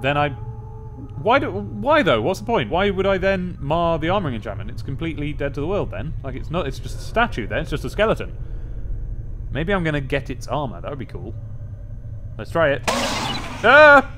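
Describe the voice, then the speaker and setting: A young man giving a gaming commentary, thinking aloud and reading game text in a playfully expressive way, close to the mic. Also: on the high side, moderate pace, a little hiss or hum. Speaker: let's play host. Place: small indoor room at a desk. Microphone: close studio mic on an arm. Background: game music.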